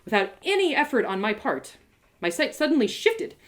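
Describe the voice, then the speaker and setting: A woman storyteller narrates a first-person tale in a live reading. Her pitch moves in wide, animated swings, and her delivery is dramatic and expressive. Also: normal speed. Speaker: author and storyteller.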